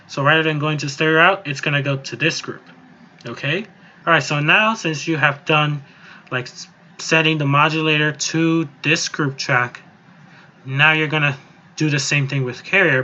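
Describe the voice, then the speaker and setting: A man with a slightly high voice talks through a software tutorial in a loose, informal way. He goes step by step and rambles a little. The recording is a somewhat dull, lo-fi voice-over. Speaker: music software tutorial presenter. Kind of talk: casual, improvised screen-recorded tutorial. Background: voice-over on a screen recording.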